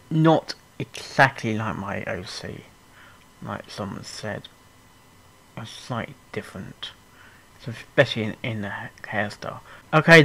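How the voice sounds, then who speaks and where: A reaction host giving casual commentary in a halting, repetitive way. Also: medium pitch, fairly bright tone, poor audio. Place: indoors, room with full bookshelves.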